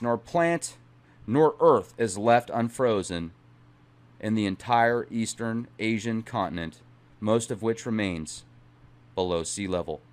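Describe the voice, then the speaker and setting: Middle aged guy host, dramatic emphatic delivery, pitch goes up n down a lot. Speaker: channel host. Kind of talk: dramatic reading aloud with casual asides.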